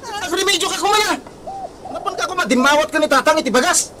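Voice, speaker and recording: A man's voice: very high-pitched, animated, fast talker, very noisy. A man playing a proud, defensive, argumentative jobless husband in an Ilocano comedy radio drama. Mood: exasperated. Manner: argumentative, complaining.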